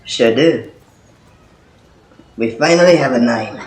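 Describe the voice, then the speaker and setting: A man's voice: medium pitch, animated, takes it slowly. Man acting blunt, rambling guy in echoey room. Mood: musing. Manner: rambling, hesitant, sweary. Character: blunt, foul-mouthed male squad member named Johnson. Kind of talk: amateur audio drama acting.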